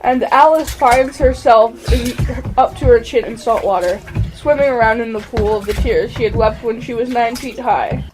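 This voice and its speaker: A woman's voice: fairly high pitch, moderate pace, darkish tone, soft background music. A female narrator reading scripted storybook narration for an amateur radio play. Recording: faintly noisy amateur recording.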